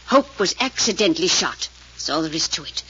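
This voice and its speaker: Sharp, husky, imperious old matriarch. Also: female voice, lowish voice, sing-song, brisk, full character voice. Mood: stern, indignant. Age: older. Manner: haughty, formal, dismissive. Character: stern, imperious old grandmother, proud family matriarch. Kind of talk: 1940s radio drama acting. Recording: muffled old radio recording.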